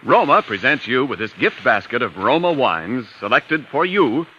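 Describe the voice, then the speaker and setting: A muffled, faintly hissy old radio recording of an American male announcer. He is polished and salesman-like, with bright projection and swooping intonation in 1940s commercial style. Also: mid-range voice, very animated, normal speed. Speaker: radio announcer and sponsor pitchman.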